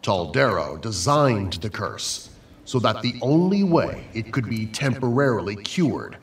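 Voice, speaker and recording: A man's voice: fairly low pitch, very animated, unhurried. A man telling an old legend as dramatic scripted narration. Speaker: storyteller. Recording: echoey, distant, faint ambient noise.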